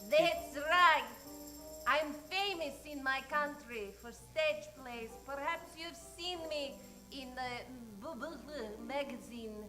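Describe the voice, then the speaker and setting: Slow, high-voiced femme fatale. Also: female voice, over music, poor audio. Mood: boastful. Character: vain, seductive foreign stage actress, femme fatale. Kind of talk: improvised old-time radio detective comedy.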